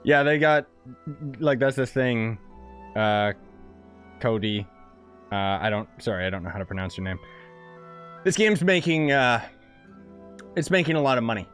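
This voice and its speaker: A man's voice: mid-range voice, lively intonation, moderate pace, pauses often, fairly bright tone, faint music behind. Sarcastic man joking over gameplay. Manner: joking, sarcastic, chuckling asides. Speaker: gaming streamer. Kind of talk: casual let's-play commentary. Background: game audio.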